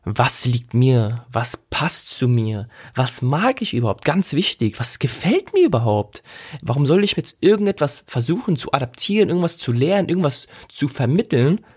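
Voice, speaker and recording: A man's voice: mid-range voice, very animated, normal speed, darkish tone, slightly rough. Casual, emphatic male podcast host. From Germany. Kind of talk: casual, reflective solo podcast talk.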